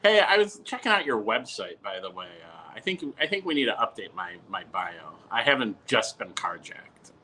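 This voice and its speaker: A man's voice: on the low side, sing-song, normal speed, few pauses, bright, forceful tone, slight background noise, poor audio. A man chatting casually as a podcast guest, bantering and repeating himself with mock boastfulness. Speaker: podcast guest who works at a TV station. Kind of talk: casual, bantering sports chat.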